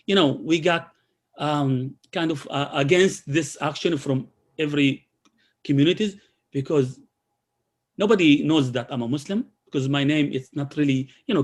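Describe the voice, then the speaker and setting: Man, slightly high voice, halting delivery full of restarts; presents community programs over a call. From Israel. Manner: halting, many restarts and fillers.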